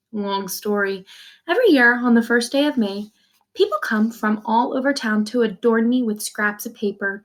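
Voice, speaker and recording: A woman reading a children's novel aloud like a teacher, expressively, in the first person, with simple explanations for young listeners. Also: on the high side. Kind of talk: read-aloud storytelling with brief explanations.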